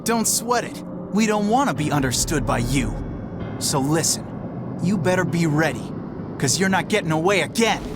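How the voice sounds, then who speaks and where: A man's voice: fairly high pitch, expressive, moderate pace, loud music behind the voice, natural voice. A man playing a defiant young hero in a video game, with a cocky, challenging manner. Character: brash, defiant young hero squaring up to villain.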